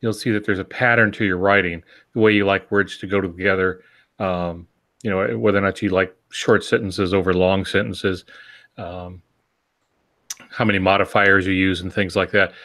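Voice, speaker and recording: A man co-hosting a podcast, discussing things casually in reflective, halting phrases. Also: low voice, steady tone, a bit bright. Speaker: podcast co-host.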